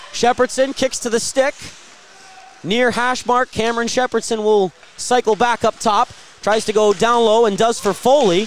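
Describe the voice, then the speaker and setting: A man doing live radio play-by-play of ice hockey, describing each move and surging with excitement on big plays. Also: high voice, a little hiss or hum. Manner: rapid-fire descriptive calls with excited surges at big plays.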